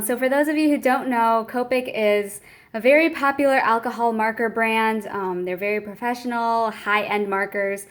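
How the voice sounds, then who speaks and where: A young woman casually talking through an art supply unboxing, with frequent ums as she reads out product details. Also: on the high side.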